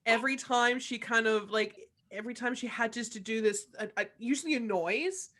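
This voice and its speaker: A woman's voice: fairly high pitch, a little fast, pushed and bright. A woman talking casually as a cast member in a discussion of an audio drama.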